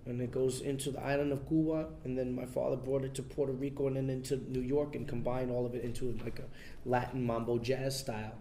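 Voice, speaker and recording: A man answers interview questions in a loose, relaxed way, stopping and restarting his sentences and leaning on fillers. His voice sits at an ordinary pitch. A faint low rumble runs under the recording. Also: fairly quick. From United States. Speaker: interview guest, son of a famous bandleader. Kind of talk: casual interview.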